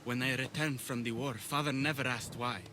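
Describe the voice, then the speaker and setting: A man's voice: mid-range voice, fairly even intonation, moderate pace, noisy background, lightly acted. A man acting a troubled ancient Greek war veteran in a video game, earnest and vulnerable in his delivery. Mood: sorrowful confession.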